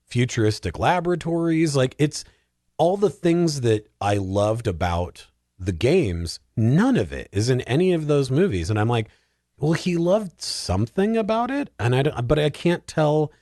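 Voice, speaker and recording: A man's voice: mid-range voice, very animated, unhurried, dark tone, dry recording. A man chatting casually as a film podcast panelist, rambling and joking with dry humor. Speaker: podcast panelist discussing films. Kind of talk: casual comedic chat.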